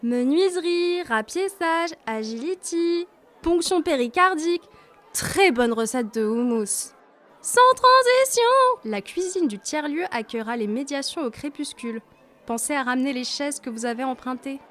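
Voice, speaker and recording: A woman's voice: sits high, animated, normal speed, a bit bright. A woman playing a cheeky, exuberant community news announcer in a parody bulletin, declamatory and tongue-in-cheek. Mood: playful, upbeat.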